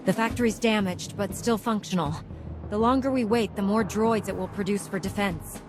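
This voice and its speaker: A woman playing a resolute, no-nonsense Jedi commander in a video game, speaking firmly and with measured authority. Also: medium pitch, natural voice. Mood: firm, composed. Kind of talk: video game cutscene acting. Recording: music behind.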